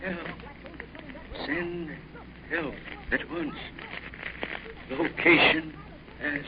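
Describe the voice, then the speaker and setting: Desperate radio distress caller, word-by-word, extremely slow, crackly muffled transmission. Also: male voice, on the high side, steady tone. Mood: urgent distress. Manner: halting, word by word. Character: desperate man calling for help by radio. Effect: faint radio transmission. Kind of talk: 1940s radio serial acting.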